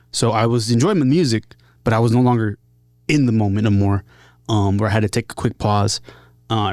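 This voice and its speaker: A man speaking casually and openly as an interview guest, earnest, in short halting phrases. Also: on the low side, no echo, studio quality. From San Diego area, United States. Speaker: podcast guest, musician. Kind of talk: casual, candid interview talk.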